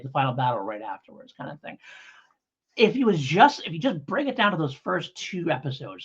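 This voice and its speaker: A man's voice: mid-range voice, husky. A man co-hosting a podcast in casual review chat, talking in a rambling, conversational, hedging way. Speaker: podcast co-host. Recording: dull, lo-fi podcast audio.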